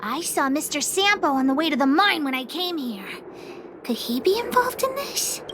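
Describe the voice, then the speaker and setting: Very high-pitched bossy little girl. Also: big pitch swings, normal speed, noisy background. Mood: excited. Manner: childish, blustering, speaks of herself by name. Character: bold bossy excitable little girl gang leader. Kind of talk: video game character acting. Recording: music behind the voice.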